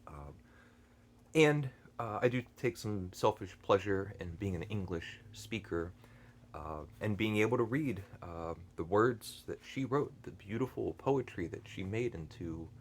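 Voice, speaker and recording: A man hosting a book channel, talking through a review unscripted, hesitant and earnest, with many fillers and restarts and frequent throat clearing. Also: fairly low pitch, expressive, a little slow, slight background noise. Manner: thoughtful and earnest.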